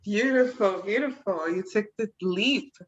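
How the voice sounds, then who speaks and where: A woman hosting an interview, talking slowly and affectionately to her guest. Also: medium pitch. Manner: slow and affectionate, calls guest sister. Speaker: interview host. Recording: dull lo-fi sound.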